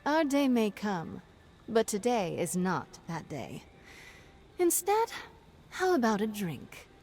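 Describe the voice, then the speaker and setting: An actress playing a self-assured pirate captain in a game, with a wry, teasing delivery. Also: female voice, mid-range voice, very animated, slow, a little mellow, slight background noise. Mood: wry, testing. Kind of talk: cinematic game acting.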